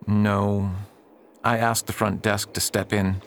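An actor playing an upright, earnest preacher who pleads and reasons with others in a radio drama. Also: male voice, fairly low pitch, flat delivery, takes it slowly, a bit bright, a little hiss or hum. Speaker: reverend at a mission.

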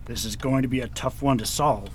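A man's voice: medium pitch, a little fast, a little hiss or hum, natural voice. A man acting in an audio drama as an agreeable, inquisitive deputy in a western, with a questioning way of talking. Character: willing male western deputy, inquisitive sidekick to marshal.

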